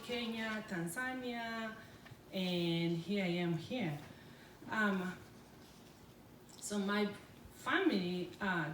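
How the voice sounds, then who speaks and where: A middle-aged woman from Congo whose first language is French, speaking with a French-influenced accent and giving a hesitant public talk full of pauses. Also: medium pitch, a little hiss or hum. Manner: hesitant, halting, many pauses and fillers. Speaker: food entrepreneur speaker. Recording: roomy, distant from the mic, faint background ambience.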